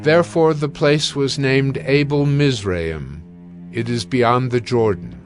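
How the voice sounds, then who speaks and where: A man narrating scripture in a solemn, measured and formal scripted style. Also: medium pitch, soft background music.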